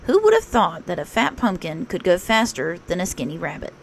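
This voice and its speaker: A woman narrating a children's story aloud in an expressive read-aloud style, like a teacher. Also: lowish voice, fairly quick, gravelly, slight background noise.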